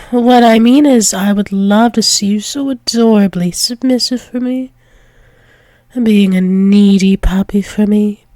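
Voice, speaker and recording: Woman playing a slow, teasing, possessive demoness lover. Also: medium pitch, warm tone, no echo, natural voice. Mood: teasing, tender. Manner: slow, teasing, breathy drops into whispers, pet names. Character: doting possessive dominant dragon-wolf demoness lover, teasing. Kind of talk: intimate ASMR roleplay.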